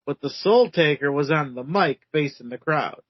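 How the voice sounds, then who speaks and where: A man's voice: on the high side, expressive, moderate pace, dry recording. A man co-hosting a casual podcast, telling a story in a hesitant, rambling way. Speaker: podcast co-host, wrestler. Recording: dry, dull lo-fi audio.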